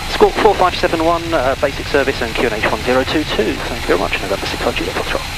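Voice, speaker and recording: A man's voice: on the high side, quick pace, vocal fry. A man speaking as a private pilot on a cockpit headset, making radio calls and chatting casually. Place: inside a small aircraft cockpit. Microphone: intercom headset. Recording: loud light-aircraft engine noise.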